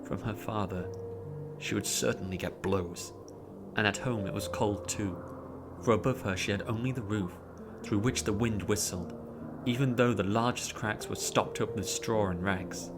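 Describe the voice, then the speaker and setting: A man narrating a story in a dramatic, expressive audiobook style, drawing out words theatrically. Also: on the low side, normal speed, noisy background. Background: music behind the voice.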